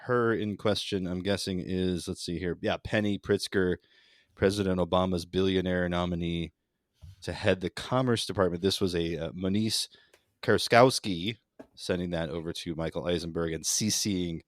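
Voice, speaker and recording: A man's voice: deep voice, steady tone, moderate pace, no echo, clean studio sound. A man bantering casually about politics as a podcast co-host, profane and sardonic.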